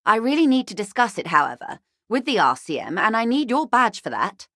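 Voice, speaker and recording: An actress playing a poised, well-bred corporate negotiator in a video game, speaking in an articulate, measured, drily ironic way. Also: female voice, a little high, big pitch swings, sharp tone, dry recording, clean studio sound, full character voice. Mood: polite concern. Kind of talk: scripted video game dialogue.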